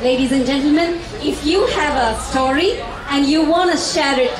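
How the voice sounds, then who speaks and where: A woman's voice: high voice, moderate pace. A woman who hosts a community TV show, giving a formal speech to an event audience. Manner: formal, addresses ladies and gentlemen. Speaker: TV show host and event sponsor representative. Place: large hall at a fashion show. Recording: echoey hall from far off with crowd.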